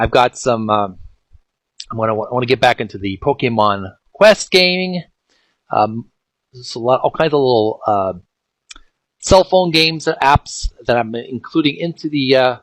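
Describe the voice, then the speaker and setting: A man with a mid-pitched voice speaks as an informal channel host, reading aloud and commenting as he goes. His phrasing is halting and piecemeal, with words often repeated. The recording is dry, with very little room sound. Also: a bit bright, dry recording. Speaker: video game channel host. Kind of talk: informal read-aloud and commentary on retro game art.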